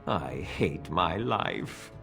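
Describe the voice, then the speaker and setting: A man playing a long-suffering, exasperated shopkeeper in a video game, dryly sarcastic with deadpan, sighing remarks. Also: low-pitched, drawn-out words, creaky, soft background music, exaggerated voice.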